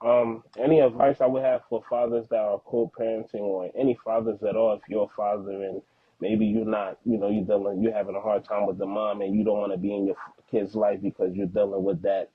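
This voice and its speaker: Husky man on a phone-like line. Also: lowish voice, little pitch movement, brisk, no room sound. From Haitian background, living in the United States. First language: Haitian Creole. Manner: earnest, slangy, repeats words for emphasis. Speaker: podcast guest, father. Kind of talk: casual, heartfelt interview talk. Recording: phone-like narrow-band audio, dry.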